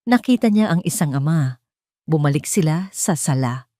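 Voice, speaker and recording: Filipino woman, low pitch, dramatic scripted narration, storyteller, dry recording. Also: animated, normal speed, mellow, no echo.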